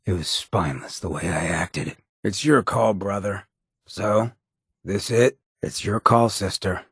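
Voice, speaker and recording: A roguish undead drifter turned rebel mayor in a video game. The voice is on the low side and shredded with gravel. He talks in a cocky, streetwise slang with a loose drawl, recorded very dry. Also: a little slow, fairly bright tone, no room sound, full character voice. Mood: earnest, subdued. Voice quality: very raspy, gravelly. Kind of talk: video game companion dialogue.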